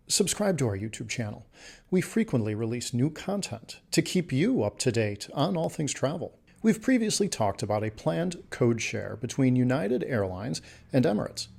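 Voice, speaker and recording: Male travel news presenter, husky and creaky voice, scripted delivery. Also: medium pitch, darkish tone.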